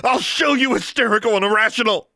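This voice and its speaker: Male reader as angry, grieving daughter: high, shrill, accusing, emotionally raw audiobook character. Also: fast, pushed and bright, no room sound. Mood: hysterical screaming. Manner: accusing, emotional, rising to shrieks. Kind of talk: dramatic audiobook character acting.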